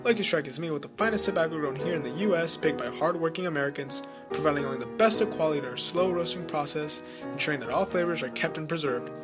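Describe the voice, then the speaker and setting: A man delivers an upbeat, salesman-style radio commercial in a slightly high voice. Clear music plays beneath the pitch. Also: quick pace. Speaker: ad announcer.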